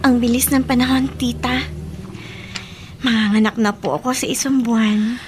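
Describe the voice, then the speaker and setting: A woman's voice: a little high, steady tone, moderate pace, background noise, close to an everyday voice. An actress playing a young pregnant woman who talks in an earnest, pleading and respectful way. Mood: hopeful. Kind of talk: radio drama acting.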